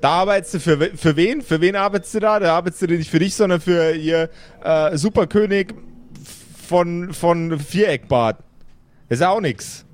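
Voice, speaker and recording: A man's voice: sits high, normal speed, natural voice. A man voicing a boastful, chummy undead necromancer in a fantasy tabletop audio game, slangy, stammering and repeating himself. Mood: smug, chummy. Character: boastful, chummy undead necromancer boss bragging about gadgets.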